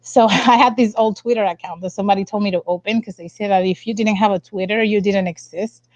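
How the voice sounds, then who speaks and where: A woman's voice: medium pitch, fairly even intonation, fast talker, a little mellow, dry recording. A young woman from the Dominican Republic speaking as an interview guest, telling her own story in a candid, casual way. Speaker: interview guest, science communicator. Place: indoor room, on a video call. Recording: dull lo-fi video call audio.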